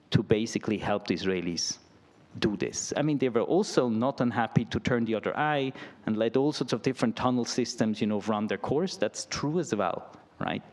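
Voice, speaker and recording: A man's voice: lowish voice, darkish tone. A middle-aged man giving an academic lecture and answering questions, talking loosely with lots of "right?" and "I mean". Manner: conversational, peppered with "right?" and "I mean".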